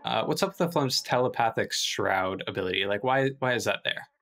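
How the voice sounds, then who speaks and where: A man's voice: lowish voice, lively intonation, a little fast, vocal fry, fairly bright tone. A man talking casually as a panelist on a podcast. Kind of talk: casual chat.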